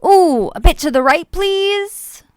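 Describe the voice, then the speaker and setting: A woman's voice: very high voice, lively intonation, slow, dry recording, clean studio sound, voice pushed up, exaggerated voice. A woman voicing a wild, cheeky little boy acorn in a storybook, exuberant and playful. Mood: blissful.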